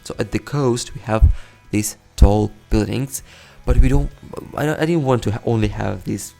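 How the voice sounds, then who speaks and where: Gravelly man rambling over a city build. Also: on the low side, moderate pace, warm tone, faint music behind. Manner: rambling, self-correcting, chuckles often. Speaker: let's play host. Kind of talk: casual gameplay commentary.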